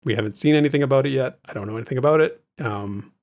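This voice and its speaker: A man's voice: medium pitch, very fast, darkish tone. A man co-hosting a casual gaming discussion in an easygoing, informal way. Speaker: podcast co-host.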